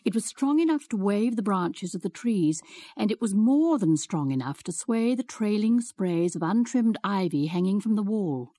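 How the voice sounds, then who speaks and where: A woman's voice: mid-range voice, expressive, normal speed, warm tone, dry recording. A woman reading a classic novel aloud as scripted storybook narration for radio. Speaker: reader of novel excerpts.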